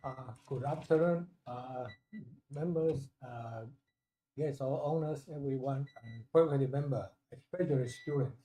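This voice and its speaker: Mellow older man with a Taiwanese accent, echoey. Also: medium pitch, unhurried. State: recovering from a lost voice. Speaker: professor, guest speaker. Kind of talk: valedictory thank-you speech. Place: indoor hall at a university ceremony. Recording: echoey room.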